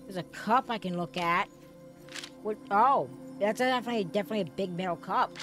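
Rambling streamer with cracking voice. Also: female voice, medium pitch, a little fast, over music. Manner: rambling, filler-heavy, jumpy. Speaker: gaming streamer. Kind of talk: let's play commentary.

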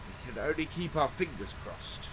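A man's voice: fairly high pitch, expressive, moderate pace, background noise. A man with a slightly nasal, stuffy voice from hay fever, talking casually through a farming simulator role-play as he works. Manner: easygoing, thinking aloud as he works. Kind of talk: casual commentary while playing.

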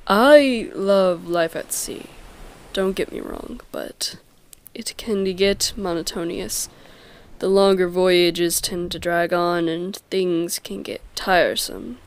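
Woman voicing an earnest, slow-spoken young male sea captain. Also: fairly low pitch, steady tone, smooth. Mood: hesitant, confessing. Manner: hesitant, stammering, theatrically courteous. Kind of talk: dramatic comic dub acting.

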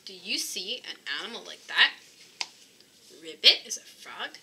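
A woman's voice: mid-range voice, sing-song, measured pace, creaky, sharp tone, a little hiss or hum. A woman reading a children's picture book aloud expressively, as if to young listeners. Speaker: storybook reader. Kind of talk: children's read-aloud narration.

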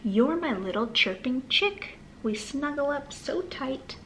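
A woman reading aloud to young children in a sing-song manner with gentle asides. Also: high voice, animated, slow pace, a little hiss or hum, low-quality recording. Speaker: children's storytime host and reader. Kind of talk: read-aloud storytime for toddlers, addressing young children. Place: small living room, on a sofa, indoors.

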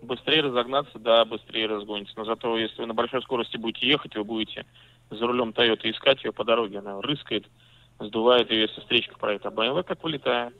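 Gravelly salesman on a tinny phone line. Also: medium pitch, fairly even intonation, quick pace, no room sound. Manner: patient, businesslike, mildly argumentative. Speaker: used-car salesman.

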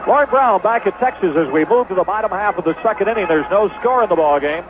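A man's voice: high voice, animated, fast, phone-quality sound. An American man calling baseball play-by-play as a 1970s radio announcer. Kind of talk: 1970s radio sports commentary. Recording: noisy phone-band vintage radio broadcast.

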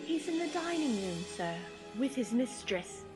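A woman acts out a courteous young Victorian housemaid. The voice is high and very lilting, with clear music behind it, as in a radio play. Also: sing-song, unhurried. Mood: polite.

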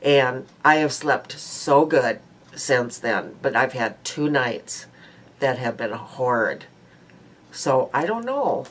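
Slow-talking stuffy older woman. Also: extremely low pitch, animated. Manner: rambling, chatty, frequent ums and uhs. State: stuffy nose. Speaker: beauty vlogger. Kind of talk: casual daily makeup chat. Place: small home room indoors. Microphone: webcam at close range.

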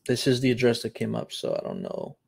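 A man's voice: mid-range voice, wildly swooping pitch, brisk, gravelly, a little mellow, slightly rough. A man in his thirties from Puerto Rico, hosting a live show and explaining casually while thinking aloud with frequent ums. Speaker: host. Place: home office, indoors.

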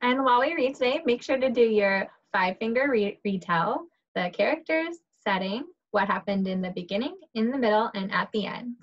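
A woman's voice: on the high side, expressive, moderate pace, hardly any pauses. A woman teacher reading a picture book aloud to young children over a video call. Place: indoors, on a group video call. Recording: dull, muffled video call audio.

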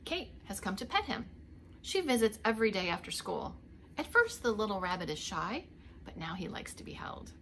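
Animated middle-aged woman reading to children. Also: mid-range voice, moderate pace, a little hiss or hum. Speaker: preschool teacher reading aloud. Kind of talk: read-aloud children's storytime with asides. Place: indoors, home living room.

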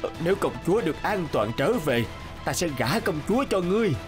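An old king in a fairy-tale cartoon. He is stubborn and authoritative, giving imperious orders. Music sits clearly under the voice. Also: high voice, big pitch swings, a little fast. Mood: grave.

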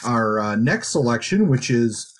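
A man's voice: medium pitch, sing-song, measured pace, a little hiss or hum. A man co-hosting a podcast, casually discussing books and thinking aloud in tentative phrases. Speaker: podcast co-host.

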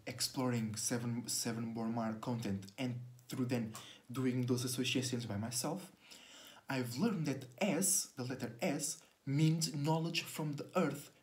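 A man's voice: medium pitch, darkish tone. A man in his twenties, a native Portuguese speaker, musing earnestly on camera in a small bedroom, restarting words often. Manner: earnest and searching, many restarts, gestures widely with both hands. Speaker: astrology vlogger. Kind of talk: casual spiritual monologue to camera. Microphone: laptop webcam close up.

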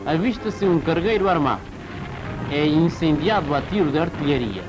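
A man with a slightly high voice narrates a 1940s wartime newsreel. He delivers the script formally, in a declamatory announcer's style. Music plays clearly behind him on the old film soundtrack. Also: lively intonation, normal speed, low-quality recording.

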